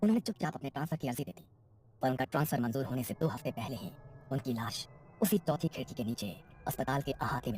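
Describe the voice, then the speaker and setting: A woman with a very deep, dark voice narrates a scripted horror story. She moves along briskly, with a faint noise behind her.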